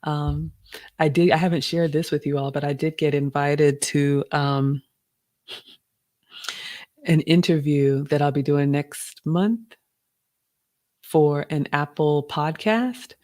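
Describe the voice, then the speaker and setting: Slightly raspy woman chatting on stream. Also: low-pitched, fairly even intonation, takes it slowly, pauses often, a little mellow, no room sound. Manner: chatty, reads viewer questions aloud. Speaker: live stream host. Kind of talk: casual live stream Q&A reading chat.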